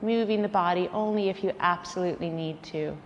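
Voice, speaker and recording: A woman leading a guided yoga nidra relaxation, speaking in a soothing, gentle way with unhurried pauses. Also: fairly low pitch, dull audio. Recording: long reverberant hall, faint background noise.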